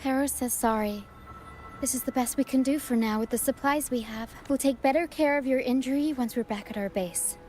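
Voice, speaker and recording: An actress playing a gentle, dutiful young female first mate in a video game, earnest and reassuring. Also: sits high, brisk, faint music behind, close to an everyday voice. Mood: gentle reassurance.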